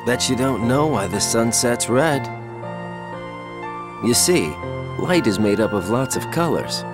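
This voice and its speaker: A man's voice: medium pitch, lively intonation, measured pace, music in the background, natural voice. An actor playing a cocky, laid-back young man in a video game, drawling with wry, playful teasing. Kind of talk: English game voice acting, casual banter.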